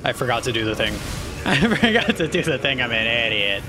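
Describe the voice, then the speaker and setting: A young man streaming a game from a small room on a headset, mostly monotone and self-deprecating, swearing and answering chat. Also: mid-range voice, normal speed.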